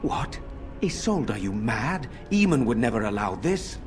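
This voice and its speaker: A man voicing a noble lord in a video game, formal and measured in the way he speaks. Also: medium pitch, sing-song. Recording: clear game music behind.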